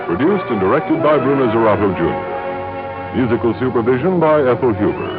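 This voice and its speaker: A man's voice: mid-range voice, very animated, fairly quick. Old timey american radio announcer guy, very up and down n emphatic, music behind him, thin tinny sound. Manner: emphatic, formal, showmanlike. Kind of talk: 1950s radio announcing, scripted credits. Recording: narrow tinny vintage radio recording.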